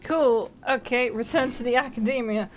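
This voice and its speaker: A young woman gaming streamer with a slightly high voice. She reacts casually and irreverently, swearing and giggly, with game music underneath. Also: moderate pace.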